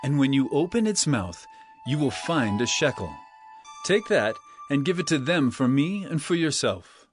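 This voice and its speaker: A man's voice: medium pitch, very animated, a little slow. A man playing an earnest teacher telling parables in a solemn, emphatic dramatized scripture reading. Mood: calm instruction. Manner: solemn, emphatic, preaching delivery. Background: background music.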